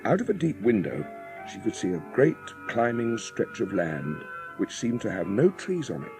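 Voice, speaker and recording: A man's voice: lowish voice, huge pitch swings, normal speed, vocal fry, full character voice, low-quality recording. A man giving scripted storybook narration as the narrator of a dramatised audiobook. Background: music.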